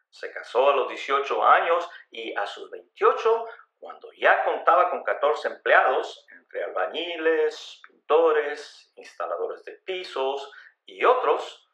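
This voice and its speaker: A man reading a scripted story in a measured, dramatic storytelling way with emphatic phrasing. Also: fairly high pitch, pushed and bright. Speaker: narrator of a radio story. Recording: roomy, echoey voice recording.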